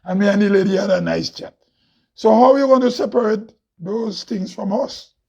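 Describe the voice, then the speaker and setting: Loud Grenadian man, husky and high. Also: normal speed. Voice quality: loud, heavy voice. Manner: preachy, rhetorical questions, laughs often. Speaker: host. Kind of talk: impassioned monologue. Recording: dull lo-fi recording.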